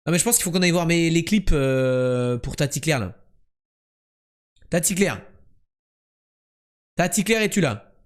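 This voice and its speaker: Joking young French streamer, boastful banter. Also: male voice, on the high side, lively intonation, takes it slowly, long pauses. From France. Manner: joking, boastful, chatty with the chat. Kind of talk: casual live-stream commentary.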